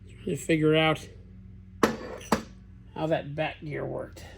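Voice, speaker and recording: A slightly high-voiced man, stuffy and sniffly from a cold, talks his way through a repair in a machine shop. He muses aloud in a plain, easygoing way as he works. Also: level tone, takes it slowly, gravelly, background noise. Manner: thinking aloud, plain-spoken, musing. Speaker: machinist, channel host. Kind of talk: casual shop commentary while working.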